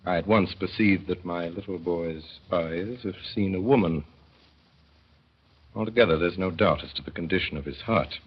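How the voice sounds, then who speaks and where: A deep, husky male voice narrates a 1930s radio drama with measured, wry timing. The sound is muffled and lo-fi, like an old broadcast. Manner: measured, wry storytelling with deliberate pauses. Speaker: narrator and lead performer.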